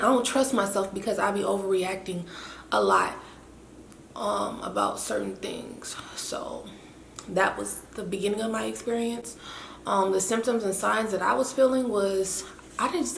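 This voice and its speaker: A young woman with a raspy, winded voice, chatting in a rambling, self-mocking way to her camera. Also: mid-range voice, fairly bright tone. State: winded and hoarse after illness. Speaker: vlogger. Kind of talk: casual chat to camera. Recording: echoey room with faint hiss.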